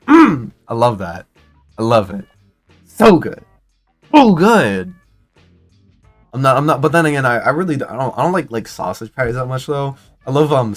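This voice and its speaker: Excitable rambling young man streamer. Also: medium pitch. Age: 20s. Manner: rambling, excitable, lots of restarts and fillers. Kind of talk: casual stream commentary.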